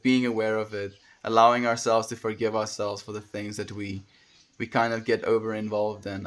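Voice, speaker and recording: A man's voice: on the low side, fairly bright tone. A man chatting casually with viewers on a livestream, meandering and laid-back with many fillers. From South Africa. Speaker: livestreamer reading chat. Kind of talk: casual spiritual chat with viewers.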